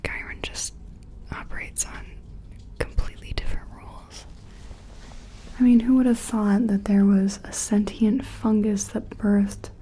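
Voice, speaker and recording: A woman playing a timid, awed scientist in a sci-fi ASMR roleplay, whispering and murmuring gently with hesitant, musing phrasing. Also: fairly high pitch. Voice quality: breathy whisper mixed with soft, creaky murmur.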